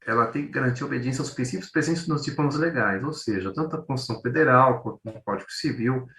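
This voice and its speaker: A man with a São Paulo Brazilian accent presents in a conversational, informal academic way. His voice is bright and forceful, with words often repeated for explanation. The sound is echoey, as in a bare room. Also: medium pitch, fast, distant mic. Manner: conversational, repeats words, illustrates with acted-out everyday examples. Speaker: co-presenter, journalist. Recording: roomy echo.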